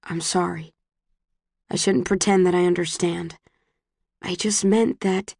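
A woman's voice: on the low side, steady tone, a little slow, a little mellow, dry recording, clean studio sound. A woman voicing a reserved, wounded young man, a boyfriend in an audiobook, terse and restrained. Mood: gentle, apologetic.

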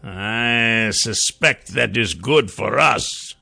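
A man voicing a scheming, deferential sorcerer in a fantasy audiobook, with a strong, gravelly voice and a slow, intense delivery. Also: mid-range voice, sharp tone, faint music behind, heavily acted.

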